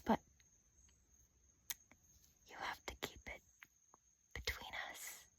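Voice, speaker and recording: A woman playing a playful, menacing vampire captor in a soft-spoken ASMR roleplay, often dropping to a whisper and teasing with sadistic relish. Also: close to an everyday voice. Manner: slow, teasing, with drawn-out pauses.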